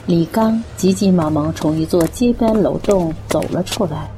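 A woman's voice: fairly low pitch, normal speed, noisy background. A woman reading scripted narration for a Chinese radio drama.

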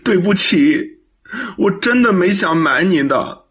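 Husky guilty young son, pleading. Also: male voice, sits high, lively intonation, fairly bright tone, full character voice. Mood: remorseful, pleading. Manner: earnest, pleading, halting. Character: guilty, devoted young son hiding an accident. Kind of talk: Chinese radio drama acting.

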